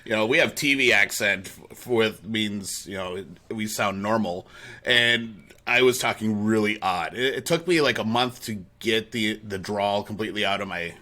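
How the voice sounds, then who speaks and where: A man's voice: on the low side, moderate pace, bright, forceful tone. A man with a Midwestern accent, co-hosting in casual conversation, who tells stories animatedly and laughs easily. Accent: Midwestern American. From South Dakota, USA.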